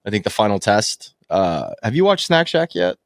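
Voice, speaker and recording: A man's voice: lowish voice, very animated, moderate pace, no echo, clean studio sound. A man talking casually and bluntly as a movie podcast panelist, in an informal conversational style. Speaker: movie podcast co-host or guest. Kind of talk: casual movie-review chat.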